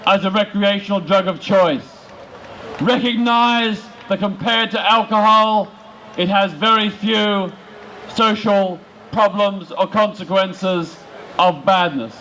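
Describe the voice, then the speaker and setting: A man making an emphatic protest speech outdoors, in short, deliberate rhetorical phrases. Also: sits high, bright, forceful tone, rough recording. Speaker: political campaigner speaking at a rally. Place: large open-air city square rally. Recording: outdoor public address with background noise.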